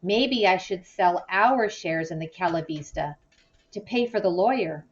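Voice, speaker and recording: A woman's voice: on the low side, expressive, a little slow, muffled recording. Woman reading as an earnest, worried young girl. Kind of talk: children's novel read-aloud.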